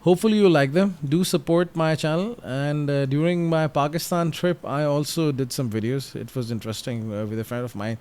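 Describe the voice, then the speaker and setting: A man whose first language is Urdu, casually narrating flight simulator gameplay in a halting, friendly way with many fillers. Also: on the high side, fairly even intonation, a little mellow, clear, resonant tone. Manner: halting, many ums and uhs, friendly chatty. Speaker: flight sim YouTuber.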